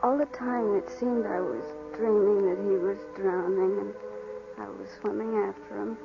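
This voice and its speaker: Actress as a plucky young working woman, 1940s radio drama, tender and emotional, middle-pitched voice, muffled old recording, faint music. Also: steady tone, moderate pace, creaky, close to an everyday voice. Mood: dreamy, anxious.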